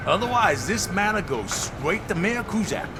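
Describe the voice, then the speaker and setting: An actor playing an indignant, demanding shop owner in a video game, aggrieved and insistent. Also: male voice, sits high, very animated, normal speed, over music. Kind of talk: video game cutscene acting.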